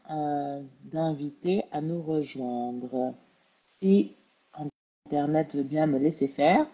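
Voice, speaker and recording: A woman hosts a live-stream interview. A cold has left her stuffed up and nasal. Her speech is slow, unhurried and full of hesitations, in a slightly low voice, over dull lo-fi stream audio. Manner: relaxed, chatty, hesitant with many fillers. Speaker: interview host.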